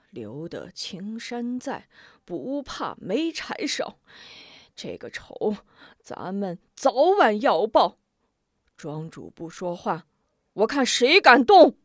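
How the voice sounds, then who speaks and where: A woman's voice: medium pitch, dry recording, clean studio sound. A woman playing a stern old male steward. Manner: shouting commands, firm.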